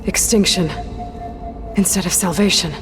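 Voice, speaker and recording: A woman's voice: fairly low pitch, steady tone, moderate pace, natural voice. A young woman playing a determined, questioning sci-fi adventurer in a video game, with an earnest, conversational delivery. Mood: thoughtful, concerned. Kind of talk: scripted video game cutscene dialogue. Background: background music.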